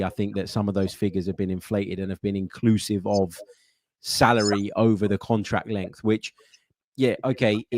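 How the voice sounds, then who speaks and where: A man hosting a football call-in podcast, brisk and chatty. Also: on the low side, darkish tone, no echo. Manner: brisk, chatty, calls people mate. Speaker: podcast host taking callers. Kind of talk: casual call-in football chat.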